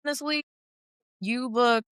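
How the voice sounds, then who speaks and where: A woman's voice: on the high side, a little slow, a bit bright, smooth, no echo, clean studio sound. A woman in her thirties hosting a podcast, asking questions and affirming her guest in a casual, friendly way. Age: 30s. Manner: friendly, affirming, lots of "okay" and "mm-hmm". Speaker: podcast host. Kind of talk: casual interview.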